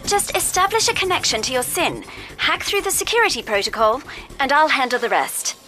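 A woman's voice: high voice, animated, fairly quick, music in the background. A woman playing a perky, eager young female tech handler in a video game, chipper and polite with an awkward edge. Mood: brisk, helpful. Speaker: mission support.

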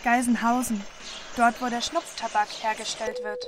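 A woman's voice: a little high, flat delivery, normal speed, natural voice. A woman playing a matter-of-fact speaker in an experimental radio play. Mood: informative. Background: music.

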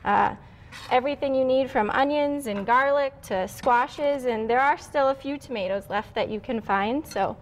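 A woman's voice: high-pitched, normal speed. A young woman from Wisconsin, a guest home cook, walking through a recipe step by step in a casual, friendly way on a TV studio kitchen set. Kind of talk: casual cooking demonstration.

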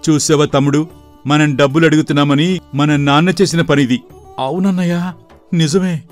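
A man voicing an earnest, excitable young village brother in an animated moral story, with emphatic delivery. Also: a little high, moderate pace, natural voice. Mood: indignant. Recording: voice over background music.

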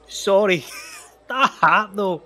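An actor playing a laid-back, easily amused young slacker, talking informally and haltingly in an audio drama. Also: male voice, high voice, bright, forceful tone, exaggerated voice. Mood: giggly. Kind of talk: audio drama testimony, casual.

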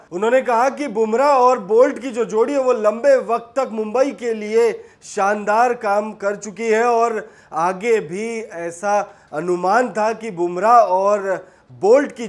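A young man presenting a sports news bulletin to camera in a studio, emphatic and repetitive, standing with his hands pressed together. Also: high voice. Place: studio in front of a screen.

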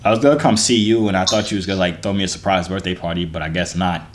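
A man's voice: low voice, very fast, slight background noise. Teasing young man on a phone video chat.

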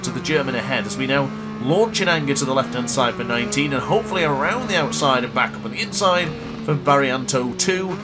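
A young man with a cold, brisk and congested, commentating a motorbike race in a game. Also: medium pitch. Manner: brisk commentator-style narration, self-correcting. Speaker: gaming commentator. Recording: loud game music behind.

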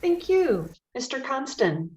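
A woman's voice: high-pitched, sing-song, takes it slowly, darkish tone, slight background noise. A woman formally moderating a public forum. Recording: echoey room, dull sound, distant.

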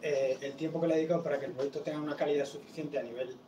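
A man's voice: a little high, steady tone, racing. A man on a panel reasoning his point reflectively and checking that he is understood. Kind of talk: panel discussion. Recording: distant, echoey, noisy room.

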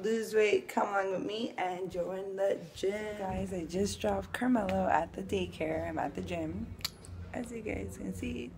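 A woman's voice: mid-range voice, a little hiss or hum. A young woman vlogging casually on a phone held at arm's length, talking to her viewers with slang at home and at the gym. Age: young adult. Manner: chatty, slangy, talks to viewers as she goes. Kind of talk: casual vlog chat. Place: home, then a gym indoors.

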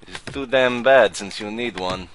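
A man's voice: low-pitched, slow pace, vocal fry, bright, forceful tone, a little hiss or hum, exaggerated voice. A man voicing a grumpy, crude male border inspector who speaks curt, broken English while playing a game. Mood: gruff grumbling. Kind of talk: let's play commentary in character.

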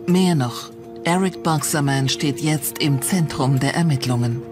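Give a scripted true crime narration in a mid-pitched voice, as the narrator of a documentary. Music plays clearly behind the voice throughout. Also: measured pace. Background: prominent background music.

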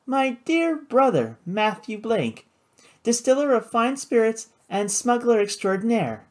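A woman's voice: mid-range voice, big pitch swings, moderate pace, a little mellow, slightly rough, pitched above the performer's own voice. A woman voicing a playful, defiant young socialite sister in theatrical audiobook dialogue. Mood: teasing, grandly introducing. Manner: teasing, theatrical.

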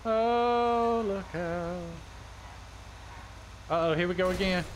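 A man's voice: high-pitched, slow pace, slight background noise, low-quality recording. A man leading a group gaming stream in casual, exclamatory chatter with some swearing. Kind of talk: casual multiplayer game chatter.

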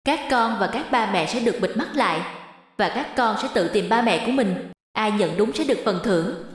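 A woman's voice: medium pitch, animated, a little fast, heavy reverb, big-room sound, natural voice. A woman voicing a cheerful primary school teacher politely hosting an event in a cartoon. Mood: cheerful, courteous. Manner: polite, clearly presenting. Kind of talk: animated fairy-tale dubbing.

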